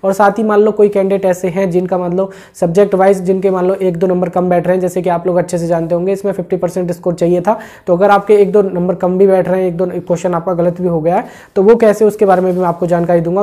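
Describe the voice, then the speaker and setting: A man's voice: high-pitched, level tone, quick pace, hardly any pauses, dark tone. A man giving an informal explanatory briefing as an online video host, repeating his points and often adding small check-in tags. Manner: repetitive, with frequent check-in tags. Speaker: exam-update YouTube host.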